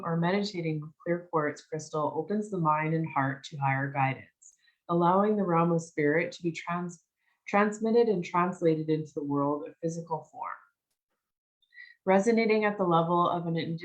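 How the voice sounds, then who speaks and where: A woman leading a spiritual new moon circle, a little croaky from a cold, talking gently with many "um"s and restarts. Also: on the low side, rough recording. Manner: gentle, reflective, with frequent "um" and restarts. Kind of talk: casual workshop talk and reading aloud.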